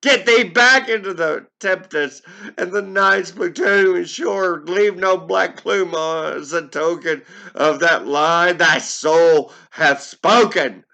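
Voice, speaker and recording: A man playing an anguished, grieving narrator of a gothic poem, melodramatic and drawn-out as he rages and pleads. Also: sits high, gravelly, pushed and bright, voice pushed up, full character voice. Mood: furious anguish. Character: anguished grieving man raging at an ominous bird. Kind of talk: theatrical gothic poetry recitation.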